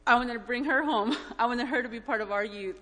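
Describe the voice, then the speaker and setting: A woman from El Salvador giving an informal church testimony in a halting, gently earnest, emotional way. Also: fairly high pitch. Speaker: church mission trip member giving a testimony. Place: large indoor church hall, speaking up front. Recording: echoey hall with long reverb.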